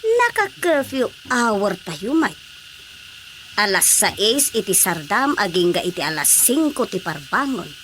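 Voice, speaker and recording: A woman's voice: medium pitch, big pitch swings, measured pace, a bit bright, a little hiss or hum. A woman playing a worried, nagging villager in a comic radio drama, with a scolding, exclamatory manner.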